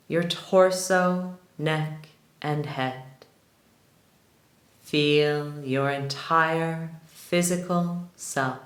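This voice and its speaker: Very slow, low-voiced woman guiding relaxation. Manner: soothing, unhurried, with long pauses between short phrases. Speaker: guided meditation teacher. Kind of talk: guided relaxation, yoga nidra narration. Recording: roomy, echoing sound.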